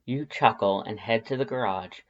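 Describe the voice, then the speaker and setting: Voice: medium pitch, moderate pace. A narrator reading scripted second-person fan fiction as an audiobook. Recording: slightly dull, band-limited audio.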